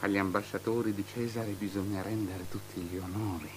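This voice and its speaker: A man's voice: on the low side, steady tone, a little fast, background noise, lightly acted. Man playing a capricious, decadent drunken king. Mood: imperious. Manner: mannered, swinging from mutters and whispers to loud declaiming. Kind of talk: avant-garde theatrical radio drama acting. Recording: radio drama with music underneath.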